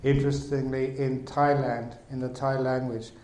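A male monk teaching in calm, measured phrases with pauses between them. His voice is mellow and dark, and the room adds a clear echo. There is a faint hum of ambience behind him. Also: mid-range voice. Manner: measured and unhurried, pausing in short phrases. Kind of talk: dharma talk with an interpreter. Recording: roomy echo.

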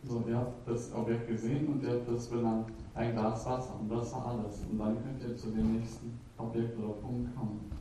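A man's voice: medium pitch, level tone, brisk, dark tone, a little hiss or hum. A man interpreting a teaching live, phrase by phrase, sometimes hesitating with filler sounds. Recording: very echoey, from a distance.

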